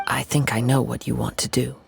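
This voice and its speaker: An actor voicing a calm, courteous young male wandering samurai in a video game dub, measured and polite. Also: mid-range voice, creaky, slight background noise.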